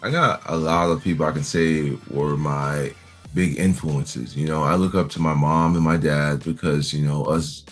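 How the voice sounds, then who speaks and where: A young man with a very deep voice answers questions in a relaxed, easygoing way, leaning on fillers as he talks. Faint music plays underneath. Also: moderate pace. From Nigeria. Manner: easygoing, laughs often, many fillers. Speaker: interview guest. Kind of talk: relaxed conversational interview.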